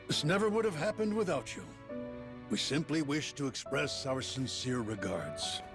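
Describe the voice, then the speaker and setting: Guy doing a pompous fancy-talking money man from a game, very animated, loud music under it. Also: fairly high pitch, moderate pace, natural voice. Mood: earnest. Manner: pompous, florid oratory. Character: theatrical grandiloquent New York financier giving a toast. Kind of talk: dramatic video game cutscene acting. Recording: music behind the voice.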